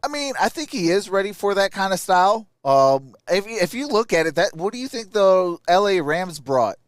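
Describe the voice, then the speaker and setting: A man's voice: sits high, sing-song, normal speed, no echo, clean studio sound. A man hosting a live sports stream, giving casual, opinionated commentary and answering viewer comments.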